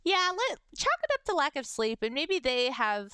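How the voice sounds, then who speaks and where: A young adult woman with a high-pitched voice, chatting casually on a livestream and reading fan messages, bubbly and giggly. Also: sing-song, normal speed, sharp tone, no room sound, clean studio sound. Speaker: VTuber streamer.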